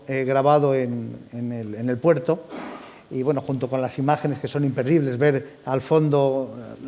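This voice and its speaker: A man's voice: medium pitch, warm tone. A man moderating a round table at a public event, announcing names with rising emphasis and then asking chatty questions.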